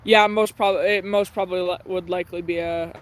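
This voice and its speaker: A man chatting casually on a live radio-tuning stream in a halting, low-key, hesitant way. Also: level tone, slight background noise.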